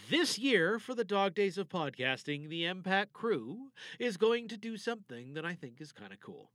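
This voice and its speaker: Male podcast host, casual and enthusiastic, slightly higher-pitched voice, emphatic delivery, very dry sound. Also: sing-song, moderate pace, fairly bright tone, no room sound.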